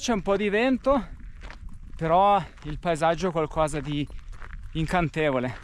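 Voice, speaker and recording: A man's voice: on the high side, normal speed. A man casually narrating a hiking vlog to camera, recorded outdoors. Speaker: hiking and amateur radio vlogger. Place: outdoors on a mountain trail. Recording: noisy outdoor recording with clicks.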